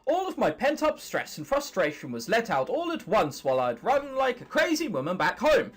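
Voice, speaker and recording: A man's voice: sits high, huge pitch swings, moderate pace, heavily acted. A man voicing a fretful, embarrassed young female troll who narrates her story in an earnest, dramatic way. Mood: weary, wistful. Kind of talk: visual novel narration read aloud.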